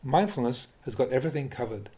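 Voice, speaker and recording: A man, a Buddhist monk, reading spiritual teachings aloud in a measured, deliberate, instructive way, like an audiobook of a talk. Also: medium pitch, dark tone. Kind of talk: audiobook-style reading of a dharma talk with questions and answers.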